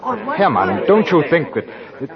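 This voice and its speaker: A man playing a young gentleman, a gambler's accusing friend, dramatic and urgent in 1940s radio acting style. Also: fairly high pitch, wildly swooping pitch, unhurried, a crowd in the background. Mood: alarmed. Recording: dull 1940s radio recording.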